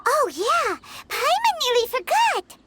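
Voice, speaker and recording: A woman voicing a tiny childlike fairy companion in a video game, with a squeaky, expressive and earnest delivery. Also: takes it slowly, heavily acted. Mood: earnest, curious. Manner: refers to self in third person, expressive. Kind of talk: video game character acting. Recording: music playing behind the voice.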